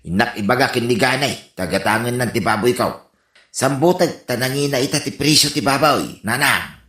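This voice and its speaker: A man's voice: mid-range voice, moderate pace, pushed and bright, close to an everyday voice. A man playing a chatty, eager rural villager with an Ilocano accent in a radio comedy drama. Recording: roomy, echoing sound.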